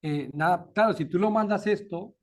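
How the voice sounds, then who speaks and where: Colombian man presenting research, relaxed fillers. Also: high-pitched, normal speed. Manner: relaxed, frequent "¿no?" tags and "eh" fillers. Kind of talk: academic talk and Q&A.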